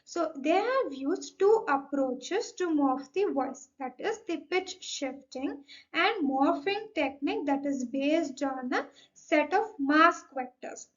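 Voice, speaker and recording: A young woman with a high-pitched voice delivers a formal student presentation. She explains in a scripted, academic way, often opening sentences with "so". The recording is dull and slightly muffled. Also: animated, slow.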